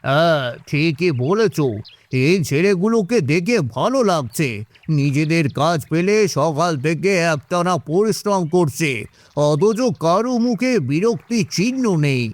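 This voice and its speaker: Cartoon old villager, voiced by a man, fairly high pitch, reflective, kindly, dry sound. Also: lively intonation, a little slow, no echo. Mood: reflective, approving. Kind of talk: animated moral story dialogue.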